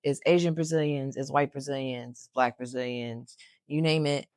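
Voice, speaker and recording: A young woman co-hosting a podcast, her voice low and raspy. She talks bluntly with a joking edge and swears freely. The sound is dry and close, with no room around it. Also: steady tone, normal speed, a little mellow, no room sound. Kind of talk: casual chat.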